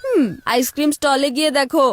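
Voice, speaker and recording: Fast, high-pitched bossy child. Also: female voice, animated, slight background noise. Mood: teasing. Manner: scolding, cheeky, insistent. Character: bossy, scheming child sibling who loves ice cream. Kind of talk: cartoon voice acting.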